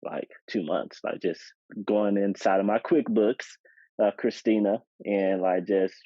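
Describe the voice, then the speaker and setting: A man's voice: lowish voice, steady tone, unhurried, dark tone, no room sound. A man co-hosting a podcast, speaking casually and reflectively, hesitating with fillers. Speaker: podcast co-host. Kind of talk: casual chat. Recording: dry, slightly dull podcast audio.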